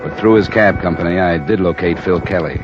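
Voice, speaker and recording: An actor playing a hard-boiled insurance investigator in an old radio drama, terse and stern, also narrating. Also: male voice, low-pitched, fairly quick, music in the background, natural voice. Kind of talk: 1940s radio acting and narration. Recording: hissy lo-fi old radio recording.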